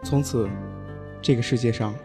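A man plays a cool young lord of a hidden valley, measured and aloof, in an audio drama. He speaks at a middle pitch with a score playing clearly behind him. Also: fairly even intonation, over music, natural voice. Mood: reflective. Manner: measured, composed, slightly aloof. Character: composed young male valley lord, former prince. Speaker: voice actor. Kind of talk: Chinese audio drama acting and narration.

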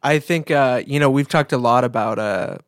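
A man co-hosting a casual podcast, thinking aloud in a reflective, halting way. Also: medium pitch, no echo, studio quality. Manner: reflective, halting, piecing thoughts together. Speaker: podcast co-host, photographer.

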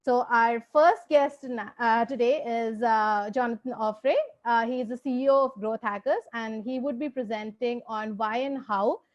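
A woman's voice: a little high, steady tone, moderate pace, few pauses. Woman hosting a webinar over dull audio. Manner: brief, scripted welcome with fillers. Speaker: webinar host. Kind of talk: introducing a webinar. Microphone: video call.

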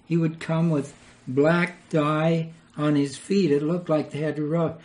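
A man's voice: a little high, fairly even intonation, slow pace, darkish tone. An older man, a retired missionary, telling rambling anecdotes in an interview and chuckling as he goes. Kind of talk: storytelling interview.

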